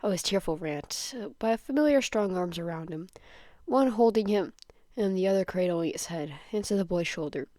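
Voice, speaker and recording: A woman's voice: on the low side, lively intonation, normal speed, mellow, dry recording, clean studio sound. A woman reading fan fiction aloud as narration, sometimes stumbling over words and rereading them. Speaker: fan fiction podfic reader. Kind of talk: scripted story narration, read aloud.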